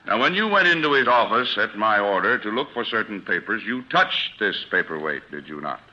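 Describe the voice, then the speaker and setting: An actor playing a smooth, formally spoken defense lawyer with a guilty secret, in 1940s radio style. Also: male voice, lowish voice, expressive, a little fast, sharp tone, exaggerated voice. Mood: smooth, probing. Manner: formal, articulate, leading courtroom questioning. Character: smooth defense lawyer, secretly a murderer, turning rattled when cornered. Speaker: defense attorney. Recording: dull vintage 1940s radio recording.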